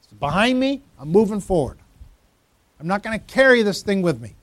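A man's voice: high voice, sing-song, normal speed, dry recording. A pastor in his fifties giving a conversational sermon, teasing members of the congregation by name. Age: 50s. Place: church.

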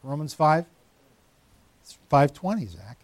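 A man's voice: fairly high pitch, very animated, slow pace, no echo. A pastor in his fifties giving a conversational sermon, teasing members of the congregation by name. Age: 50s. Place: church.

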